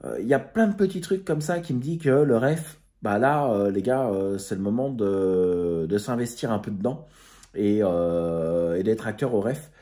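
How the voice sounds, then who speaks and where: Deep, mellow Frenchman chatting hesitantly. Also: moderate pace. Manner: hesitant, groping for words. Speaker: amateur radio video host. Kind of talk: casual unscripted recap talk to followers.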